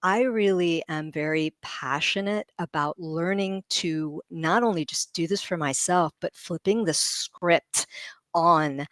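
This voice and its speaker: A middle-aged woman speaking as a leadership guest on a livestream panel, animated and laughing often as she explains ideas with vivid comparisons. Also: on the low side, unhurried, dry recording, studio quality.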